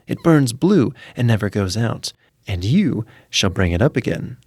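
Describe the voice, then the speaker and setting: A man's voice: on the low side, sing-song, unhurried, a little mellow, breathy edge, no echo, clean studio sound, exaggerated voice. Storybook witch, acted out by a male reader: old, sly, taunting, always bargaining, little room sound. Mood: scheming.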